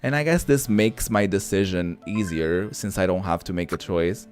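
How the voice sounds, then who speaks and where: A man doing casual let's-play commentary, thinking out loud with some mild swearing over background music. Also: sits low, normal speed. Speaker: gaming host. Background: background game music.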